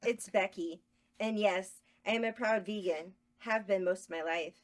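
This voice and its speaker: A woman's voice: mid-range voice, moderate pace, close to an everyday voice. A woman voicing a gushing, nervous young superfan at a script table read, eager and pleading. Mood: relieved.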